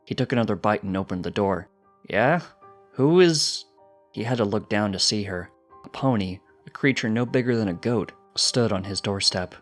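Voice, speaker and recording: Male storyteller, lowish voice, scripted fiction narration over soft music. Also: brisk.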